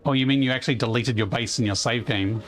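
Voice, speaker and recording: A man with a naturally loud voice, talking in an easy, rambling way while he plays a building game live. His pitch sits at an ordinary middle level, and soft music plays faintly behind him. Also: fast talker. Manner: rambling, chatty, reads chat aloud. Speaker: game streamer. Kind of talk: casual live-stream chat while playing.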